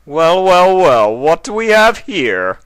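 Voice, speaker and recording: A man's voice: a little high, sing-song, takes it slowly, fairly bright tone, smooth, dry recording, voice pushed up, exaggerated voice. A man voicing an arrogant, haughty male unicorn prince villain in a pompous, sneering manner for a cartoon fan story.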